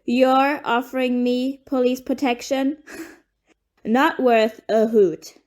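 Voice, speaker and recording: A woman's voice: sits high, steady tone, slow pace, a little mellow. A woman playing a crusty, irritable, defiant mother, blunt and dismissive, in an audio play. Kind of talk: amateur audio play acting.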